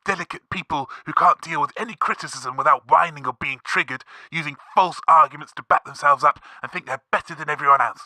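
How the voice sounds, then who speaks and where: A man's voice: a little high, fast, few pauses, bright, forceful tone, dry recording. A man playing a bigoted giant talking slug, a whining, aggrieved caller on a comedy radio show. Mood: indignant, ranting. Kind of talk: scripted comedy radio phone-in acting.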